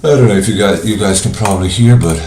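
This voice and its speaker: A man with a cold, sounding congested, casually walking viewers through software on a live screen share. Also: deep voice, roomy sound, slight background noise. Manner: casual, thinking aloud with pauses. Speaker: software trainer.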